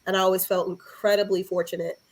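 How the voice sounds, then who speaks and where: A woman's voice: fairly low pitch, flat delivery, fast talker. A woman from Harlem in New York City, talking casually and reflectively as a podcast guest.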